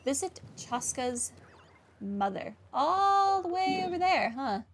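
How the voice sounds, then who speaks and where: Higher-voiced woman commenting on a game. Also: very animated, slow, slight background noise. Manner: opinionated, talks back to the characters. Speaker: gaming playthrough host. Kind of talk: casual reactive game commentary.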